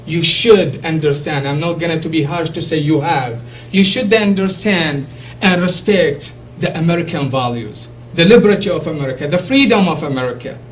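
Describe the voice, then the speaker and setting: A man with a thick Iraqi Arabic accent, a native Arabic speaker, giving an earnest, emphatic speech to a college class and halting now and then to restart. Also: on the high side. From Iraq. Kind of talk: impassioned public speech. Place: classroom or lecture hall. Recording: distant mic with faint hum.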